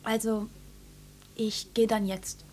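An actress playing a cheeky young daughter in a German radio play, pert and eager in manner. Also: female voice, on the high side, flat delivery, slow pace, a little mellow, a little hiss or hum. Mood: breezy. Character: cheeky, admiring young daughter still studying.

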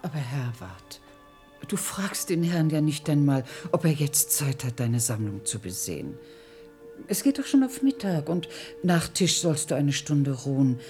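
An actress playing an anxious, protective old woman in a radio play, speaking politely and pleading gently. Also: deep voice, sing-song, measured pace, faint music behind. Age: older.

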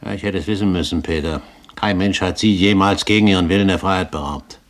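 An actor playing a shrewd older pirate captain, grand and wry in a 1970s German radio drama. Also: male voice, low-pitched, fast, no room sound. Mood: wry, grumbling. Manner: grand, wry, authoritative, given to mild curses. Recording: 1970s radio play, background noise.

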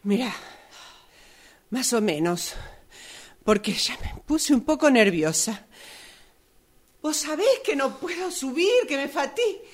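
A high-pitched woman with a Rioplatense accent, acting an agitated neighbour in a radio drama. She talks slowly and hesitantly, nervous and easily winded. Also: big pitch swings, heavily acted. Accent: Rioplatense Argentine. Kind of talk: amateur radio drama exercise.

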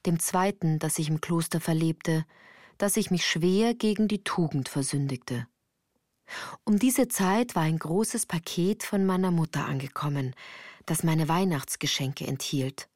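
A woman's voice: fairly low pitch, darkish tone, no echo, studio quality. A woman reading scripted first-person literary narration for a radio play in a composed, articulate storytelling manner.

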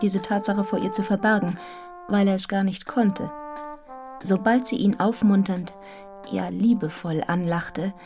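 A woman's voice: medium pitch, close to an everyday voice. Reflective young schoolmistress, played by a woman, over music. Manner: measured, reflective, articulate. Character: earnest, observant young village schoolmistress telling her story. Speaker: lead actress and narrator. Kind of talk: literary radio drama narration. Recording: music behind the voice.

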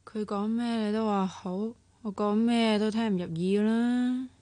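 A woman's voice: medium pitch, steady tone, measured pace, warm tone, dry recording, lightly acted. Feisty Hong Kong Cantonese amateur sleuth played by a woman for radio drama, blunt, suspicious and exclamatory. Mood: sulky.

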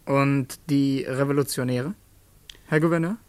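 An actor playing a polite young secretary who is guarded and quietly challenging, formal and restrained in a radio drama. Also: male voice, medium pitch, steady tone, measured pace, clean tone, no echo, close to an everyday voice. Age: young adult. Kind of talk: German radio drama acting.

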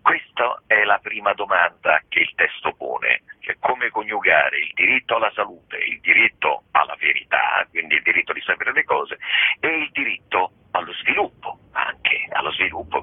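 A man speaks in a deep, heavily rasping voice over a narrow, dry phone connection. He explains at length in a conversational interview style, emphatic and discursive, repeating phrases and posing rhetorical questions. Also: sing-song, normal speed, dry recording. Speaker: theatre practitioner being interviewed. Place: phone call into a radio programme. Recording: muffled, thin telephone line on radio.

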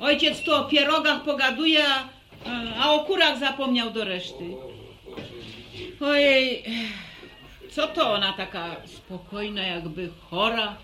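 Fussy teasing country mom, bright high voice, takes her time, radio play. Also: slow pace, slight background noise, heavily acted. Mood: fussing. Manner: fussing, teasing. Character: teasing, bustling village mother of a grown family. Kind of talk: radio drama acting.

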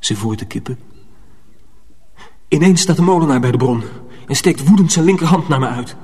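An actor playing an earnest, protective young mill apprentice in a radio drama, measured and confiding. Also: male voice, a little high, animated, dark tone, breathy edge. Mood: tense, wondering. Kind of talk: radio drama narration and dialogue.

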